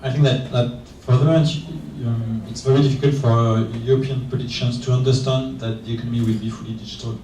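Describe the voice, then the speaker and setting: A man's voice: medium pitch, little pitch movement, fast, few pauses, mellow, slight background noise. A male panelist in a conference discussion, speaking hesitantly and repeating words. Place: conference room. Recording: echoey room, voice from far off.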